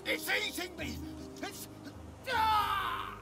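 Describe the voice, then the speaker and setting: A man's voice: very high-pitched, extremely slow, vocal fry, heavily acted. An actor playing a panicking male soldier choking inside living armor, screaming in terror. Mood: terrified. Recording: game audio under music.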